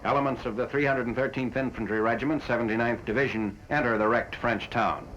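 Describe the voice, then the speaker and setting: A man reading a scripted 1940s military newsreel narration in a formal, factual, measured manner. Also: medium pitch, fairly even intonation, a bit bright. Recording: dull, noisy 1940s film soundtrack.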